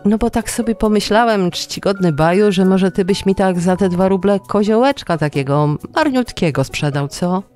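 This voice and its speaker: A storyteller putting on a high, wheedling folk-tale trickster. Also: male voice, normal speed, hardly any pauses, darkish tone, faint music behind, lightly acted. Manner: wheedling, mock-humble. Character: wily poor trickster pleading with feigned meekness.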